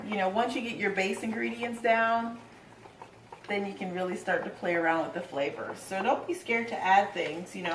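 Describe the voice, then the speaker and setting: A woman's voice: fairly low pitch, far from the mic, noisy background. Woman, casual chatty cooking demo, echoey room, mic set back. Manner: chatty and relaxed, with filler words. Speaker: home cooking demonstrator. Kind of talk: casual recipe demonstration. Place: home kitchen.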